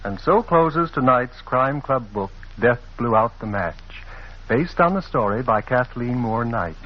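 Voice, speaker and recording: An actor playing a courteous librarian who hosts a radio mystery club in a measured, theatrical way, on a muffled old recording. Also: male voice, medium pitch, lively intonation, noisy background, close to an everyday voice. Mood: measured, formal. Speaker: host and announcer. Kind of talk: 1940s radio mystery hosting.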